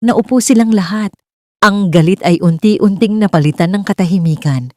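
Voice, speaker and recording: A woman's voice: mid-range voice, moderate pace, music in the background. A woman narrating a scripted romance story in a dramatic storytelling style.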